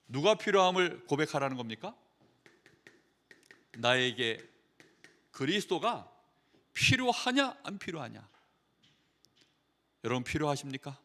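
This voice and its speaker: A middle-aged man preaching a sermon in a conversational way, asking the congregation questions and telling anecdotes, moving from loud emphasis to quiet trailing phrases. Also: a little high. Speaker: pastor.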